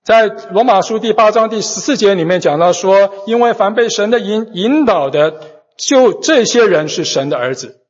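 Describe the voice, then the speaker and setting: A man's voice: high voice, animated, moderate pace. A man interpreting a sermon phrase by phrase, hesitating and restarting as he goes. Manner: hesitant, many fillers and restarts while interpreting. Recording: dull recording in a large echoing hall.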